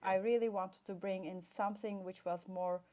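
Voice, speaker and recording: A woman's voice: mid-range voice, steady tone, normal speed, mellow. A middle-aged woman from Turkey chats as an interview guest, voice sitting in a comfortable middle range. She wanders through her story with plenty of fillers and restarts. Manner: chatty, meandering storytelling with fillers. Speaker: guest, play expert. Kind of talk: casual interview chat.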